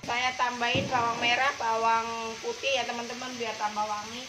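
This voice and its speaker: A woman's voice: fairly high pitch, little pitch movement, normal speed. Stuffy-nosed woman chatting while she cooks. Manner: chatty, keeps addressing viewers as friends. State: nasal, stuffy from a cold. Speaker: cooking vlog host. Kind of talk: casual cooking vlog. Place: home kitchen. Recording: noisy home kitchen recording.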